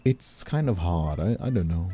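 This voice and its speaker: A man's voice: low-pitched, lively intonation, moderate pace, warm tone, soft background music. A man who works as a streamer and voice actor, chatting casually in a rambling, candid way and repeating his words. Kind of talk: casual chat.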